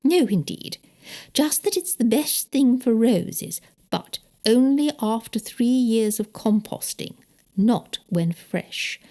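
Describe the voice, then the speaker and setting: A woman's voice: on the high side, expressive, takes it slowly, warm tone, voice pushed up. A woman voicing a prim, indignant older married lady with a British accent, emphatic and precise, in an audiobook. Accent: British English. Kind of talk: audiobook character dialogue.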